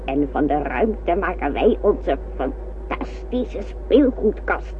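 A male space traveller declaims poetically and very slowly, as in a radio drama. The recording is tinny and noisy, like old radio. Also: on the high side, sing-song. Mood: wistful, grand. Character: poetic space traveller musing on small boys.

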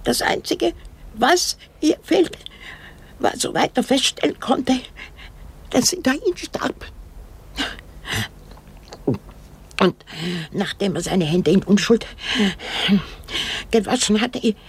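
An actress playing an elderly, haunted woman in a radio drama, rambling and brooding with halting, repetitive speech. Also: fairly high pitch, exaggerated voice. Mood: hushed, haunted. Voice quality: husky, breathy, creaky.

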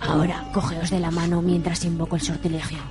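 A woman's voice: on the low side, little pitch movement, fast talker, music in the background, natural voice. A woman acting a bossy, exasperated mother and amateur sorceress, commanding and theatrical, in an amateur radio soap opera.

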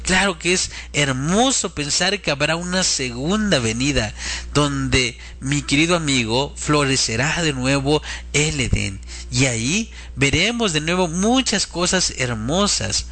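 A man's voice: a little high, expressive, a little slow, bright, forceful tone. A man preaching informally and earnestly to listeners he addresses as friends, exhorting them with rhetorical questions and repetition.

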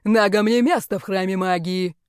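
A woman's voice: medium pitch, normal speed, clean tone, no room sound, clean studio sound, natural voice. A woman voicing a sneering, contemptuous male magic-school rector who drawls and barks orders in an audiobook. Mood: angry commanding. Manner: drawling, imperious, barking.

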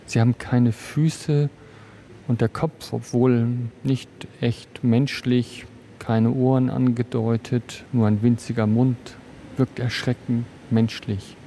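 A man's voice: medium pitch, level tone, slow pace, warm tone. A man working as a reporter, describing what he observes on the spot. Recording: faint noise, location ambience.

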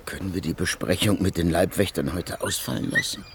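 An actor playing a weary, fatalistic old revolutionary in exile in a radio drama, resigned and reflective. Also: male voice, on the low side, lively intonation, unhurried, gravelly, darkish tone, slight background noise. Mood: tired.

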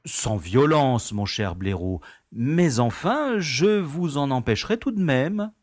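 An actor playing a fussy, affable prison director in an audio drama, speaking formally with courteous condescension. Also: male voice, mid-range voice, sing-song, takes it slowly, no room sound, clean studio sound. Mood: patronising. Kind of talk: French audio drama acting.

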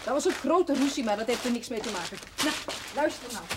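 An actress playing a lively, pleading young woman in a Dutch television period drama, eager and emphatic. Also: a little high, quick pace, lightly acted. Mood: eager, persuasive. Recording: noisy old television soundtrack with clicks.

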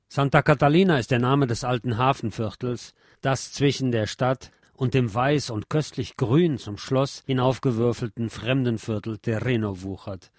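A man reading a literary text aloud with expressive, emphatic delivery. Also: medium pitch, dry recording, clean studio sound.

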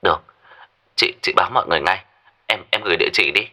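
A man's voice: low-pitched, fast talker, bright, forceful tone, heavily acted. Man voicing a concerned, questioning woman caller. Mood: astonished. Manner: questioning, stammers when surprised. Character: brisk, concerned older sisterly woman on phone.

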